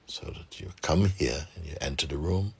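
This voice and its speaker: A man guiding a meditation for a group, speaking softly and calmly with gentle pauses and a husky, breathy voice. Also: rumbling low. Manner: unhurried, gentle pauses, calm instruction. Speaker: meditation guide. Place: room with a seated group, doors closed.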